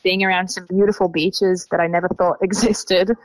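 A woman's voice: lowish voice, little pitch movement, normal speed, no echo, rough recording. Young Israeli woman with an Australian-sounding accent. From Tel Aviv, Israel. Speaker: interview guest, young traveler. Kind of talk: conversational interview answers.

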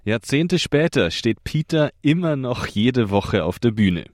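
A male radio presenter reading a scripted narration in a measured, even way. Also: medium pitch.

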